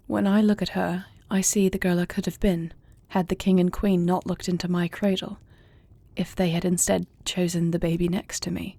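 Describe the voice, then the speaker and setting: Woman acting a mellow, low-voiced warrior princess. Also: gravelly, no room sound. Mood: soft, wistful. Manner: formal, measured, eloquent diction. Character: thoughtful warrior princess, devoted wife, earnest and refined. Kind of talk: intimate fantasy audio roleplay acting.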